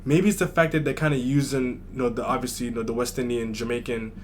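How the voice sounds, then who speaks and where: A man's voice: medium pitch, steady tone, fast, slight background noise. A man from New York hosting a music reaction video, chatting casually and thinking aloud. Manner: chatty, thinks aloud with fillers like "like" and "you know". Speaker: reaction channel host.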